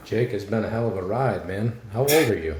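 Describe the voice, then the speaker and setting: A male podcast host with a low voice chats casually and warmly with his guest, often calling him "man". His voice rings in a roomy space over a faint background hiss. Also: expressive, a little fast. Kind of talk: podcast interview.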